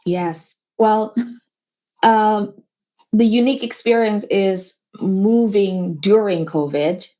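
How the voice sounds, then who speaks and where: A woman's voice: medium pitch, slow pace, mellow. A woman business owner talking earnestly as a guest in a casual conversation, with small grammar slips. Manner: earnest, motivational, slightly non-native grammar. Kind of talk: casual interview chat.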